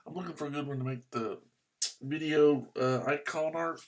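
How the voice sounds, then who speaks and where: A man from the United States vlogging about ham radio, muttering to himself as he searches on his computer, with bursts of excited cheering. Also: fairly high pitch, normal speed. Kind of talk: casual screen-recorded commentary.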